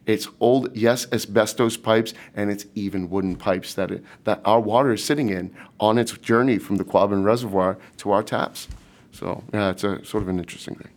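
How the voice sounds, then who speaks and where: A man with a light Boston accent answers interview questions in a rambling, meandering way. His voice sits low, and he circles back with fillers and restarts as he talks. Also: fairly even intonation, normal speed. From Boston, USA. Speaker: startup team member. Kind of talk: casual interview talk.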